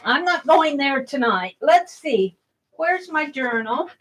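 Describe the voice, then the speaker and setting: A middle-aged woman hosting an art livestream, chatting casually with a loud, projected voice while she works and reads chat comments. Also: sits high, expressive, slow pace, poor audio.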